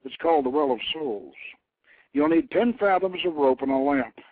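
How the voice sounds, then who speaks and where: A man's voice: on the high side, animated, moderate pace, dry recording. A man voicing a wise old priest-king in a story reading, grave and plain-spoken with an earthy humor. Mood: grave, helpful. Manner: plain-spoken, weighty counsel with archaic oaths. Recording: lo-fi, phone-like internet radio.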